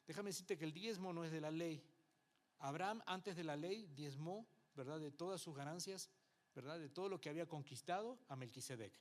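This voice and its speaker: A man's voice: on the high side, brisk. A man from Uruguay, a pastor, teaching a Bible class in church in Uruguayan Spanish with some Mexican influence, talking with the congregation and acting out what people say. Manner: conversational preaching with frequent rhetorical checks and acted-out quotes.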